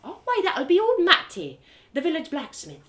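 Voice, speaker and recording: A woman's voice: very high-pitched, huge pitch swings, moderate pace, a bit bright, pitched above the performer's own voice, exaggerated voice. A woman voicing a fussy village mother and healer with a folksy, exclamatory way of talking. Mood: chirpy.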